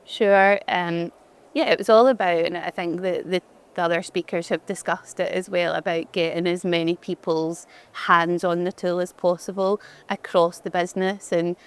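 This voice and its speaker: Glaswegian woman with a low, smooth voice. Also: fairly even intonation, normal speed. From Glasgow, Scotland. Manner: chatty, frequent restarts and fillers. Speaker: head of digital experience, conference guest. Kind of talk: fireside chat on stage.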